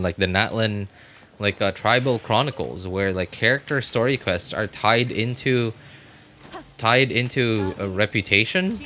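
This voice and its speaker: Bright-voiced man rambling on a livestream. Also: medium pitch, slight background noise. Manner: rambling, repeats and reworks phrases. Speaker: game livestream host. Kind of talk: casual live stream chat.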